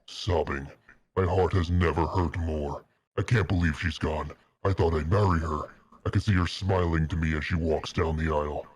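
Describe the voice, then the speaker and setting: A man's voice: low voice, a little mellow, no echo. A man reading fan fiction aloud on a stream, mock-earnest and melodramatic, with a casual style. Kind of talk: dramatic narration with casual asides.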